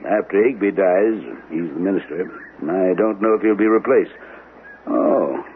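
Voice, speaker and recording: A man's voice: deep voice, measured pace. An actor playing an affable local man in a 1970s radio drama, talking in a matter-of-fact way. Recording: muffled lo-fi radio recording with faint noise.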